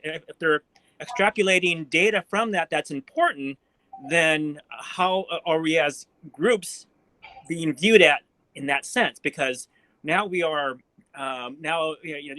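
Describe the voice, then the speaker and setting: A man asks probing questions on a video call and keeps restarting his sentences. His voice is bright and forceful and sits slightly high. The sound is dry and close. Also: no room sound, poor audio. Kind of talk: questioning at a forum.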